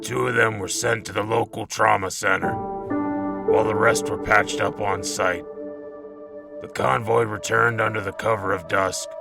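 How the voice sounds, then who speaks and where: An actor playing a weary, battle-worn soldier in a sci-fi audio drama, grave and measured. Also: male voice, on the low side, vocal fry. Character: weary battle-worn male soldier narrating a war log. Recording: echoey, distant, under loud music.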